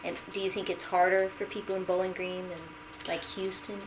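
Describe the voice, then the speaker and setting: A woman interviewing a man, giving short backchannels and asking gentle questions. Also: lowish voice, little pitch movement, a little fast, background noise. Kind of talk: casual interview.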